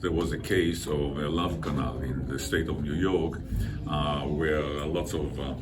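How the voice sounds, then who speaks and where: An older man with an Israeli accent giving an informal presentation as a guest speaker, explaining things in a halting way. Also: rumbling low. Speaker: guest speaker, environmental consultant. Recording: distant mic with loud background noise.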